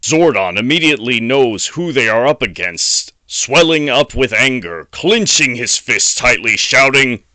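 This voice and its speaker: A man's voice: mid-range voice, lively intonation, a little slow, few pauses, bright, forceful tone. A man narrating a scripted audio drama in a dramatic storytelling style.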